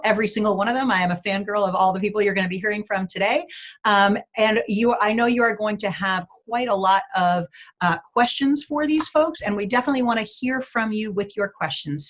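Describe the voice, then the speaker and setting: Upbeat New woman hosting a webinar. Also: mid-range voice. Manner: upbeat, lightly scripted welcome with occasional fillers. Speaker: webinar host.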